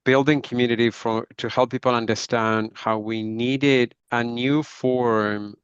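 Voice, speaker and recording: A man's voice: medium pitch, steady tone, measured pace, smooth, no room sound, highs cut off. A middle-aged man from northwest Spain with a Spanish accent, a podcast guest who speaks reflectively and often closes his points with "right?". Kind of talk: conversational interview.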